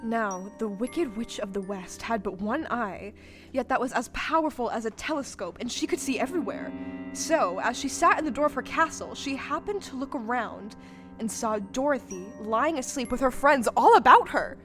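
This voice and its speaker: Female narrator, higher register, scripted storybook telling for an audio drama, music clearly behind. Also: lively intonation, normal speed. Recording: music under the voice.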